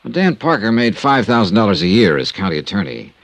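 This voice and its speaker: An actor playing a shrewd, courteous American insurance investigator in a 1950s radio drama, clipped and probing. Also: male voice, on the low side, sing-song, brisk, no echo. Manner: probing, smooth, clipped hard-boiled delivery. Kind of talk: 1950s radio detective drama, first-person narration. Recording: vintage radio recording with music.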